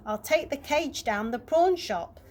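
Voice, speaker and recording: A woman voicing a meek, soft-hearted, pleading father in a children's story. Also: high voice, animated, measured pace, voice pushed up. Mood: protesting. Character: meek, pleading, downtrodden dad.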